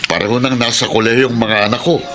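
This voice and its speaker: An actor playing a hardworking middle-aged maintenance worker in a radio drama, with a Filipino accent. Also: male voice, medium pitch, steady tone, fast talker, over loud music, close to an everyday voice. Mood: earnest, firm. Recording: radio drama with crowd sounds behind.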